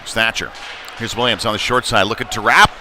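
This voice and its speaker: Man calling hockey over clear rink noise. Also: lowish voice, fairly quick. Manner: casual, unpolished, conversational between plays; excited calls when play heats up. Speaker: hockey play-by-play commentator. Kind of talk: live sports commentary of a youth ice hockey game. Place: indoor ice rink. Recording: noisy live rink stream, clear background noise. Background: rink ambience and noise.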